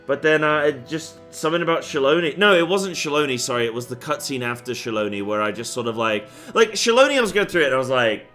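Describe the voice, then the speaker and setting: A man's voice: mid-range voice, lively intonation, fast, hardly any pauses, bright, forceful tone. A young man chatting casually with viewers on a game stream, rambling with plenty of fillers and getting fired up as he rants. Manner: rambling, many fillers, gets worked up when ranting. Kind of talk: casual live-stream chat. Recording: faint music behind.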